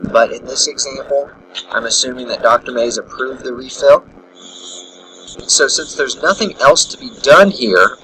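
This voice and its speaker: A man's voice: mid-range voice, poor audio. A man narrating a casual, step-by-step screen tutorial as an instructor, thinking aloud as he demonstrates. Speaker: instructor, software trainer. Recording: bright recording with faint background noise.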